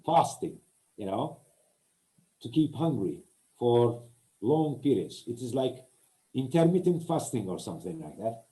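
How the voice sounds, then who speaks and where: A man with a Turkish accent, a native Turkish speaker, giving an academic lecture as a translator, often pausing and searching for words. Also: medium pitch, big pitch swings, dark tone, rough recording. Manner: hesitant, searching for words with many pauses.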